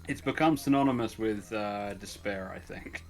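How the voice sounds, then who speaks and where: A man giving casual commentary on a video game, rambling and trailing off softly. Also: on the low side, normal speed. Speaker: let's play co-commentator.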